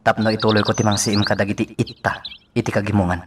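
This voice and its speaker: A man's voice: on the low side, level tone, fast talker, close to an everyday voice. A man with an Ilocano accent playing an earnest young man on a secret mission in a radio drama. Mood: earnest, troubled. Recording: distant mic, noisy, birdsong behind.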